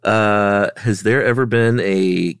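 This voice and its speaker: A man's voice: on the low side, fairly even intonation, takes it slowly, clear, resonant tone, no room sound, clean studio sound. A man co-hosting a podcast, stuffy from a head cold, talking in an easygoing, self-deprecating way. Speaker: podcast co-host. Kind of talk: casual chat.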